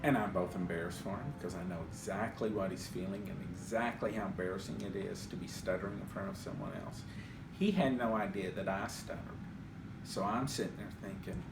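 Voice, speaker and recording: A middle-aged man who stutters, speaking reflectively in an interview, measured, with pauses and repeated words. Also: sits low, vocal fry. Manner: measured, with pauses and word repetitions. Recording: roomy echo, distant mic, music behind. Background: guitar music.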